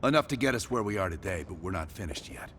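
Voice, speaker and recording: A man acting as a hard, coercive CIA operative in a video game, speaking in a measured, deliberate and intense way. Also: deep voice, expressive, fairly bright tone, a little hiss or hum. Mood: cold, explanatory. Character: hard, coercive male CIA operative leading a covert team.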